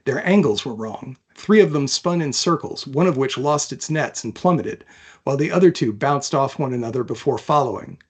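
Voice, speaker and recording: A man's voice: mid-range voice, lively intonation, normal speed, husky. Male author narrating a fantasy novel. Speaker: author reading his own novel. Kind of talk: dramatic audiobook narration.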